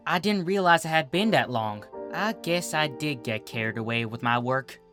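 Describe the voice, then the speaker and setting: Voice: medium pitch, lively intonation, normal speed, music in the background, lightly acted. A narrator voicing a kindly, patient female farm pony, in a cartoon fan fiction reading. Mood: apologetic, tender. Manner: folksy, affectionate, easygoing.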